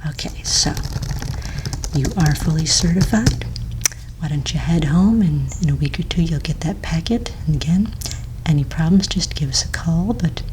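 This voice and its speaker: Casting: woman, low soft whispery voice, patient and polite office manager for ASMR roleplay, with background noise. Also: animated, normal speed. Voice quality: soft, breathy, mostly whispered. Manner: gentle, polite, reassuring clerical patter. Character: courteous, patient female office manager registering pets.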